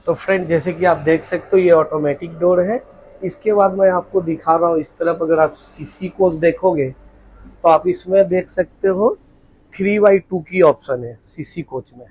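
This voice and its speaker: A man's voice: a little high, normal speed, a little mellow, a little hiss or hum, rough recording. Chatty man touring a train. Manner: chatty, repeatedly addressing viewers as friend. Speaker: travel vlogger. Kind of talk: casual travel vlog tour. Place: train platform and train coach interior. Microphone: phone held at arm's length.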